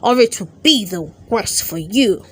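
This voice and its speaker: A woman's voice: medium pitch, lively intonation, measured pace, a bit bright, breathy edge. A woman voicing a bad-tempered male goblin from a storybook, snarling and menacing. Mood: angry, threatening.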